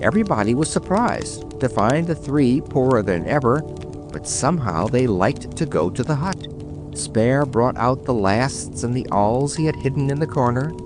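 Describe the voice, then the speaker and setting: Male narrator for a bedtime tale; mid-pitched, highly expressive, dramatic read. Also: normal speed. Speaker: storyteller. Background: music.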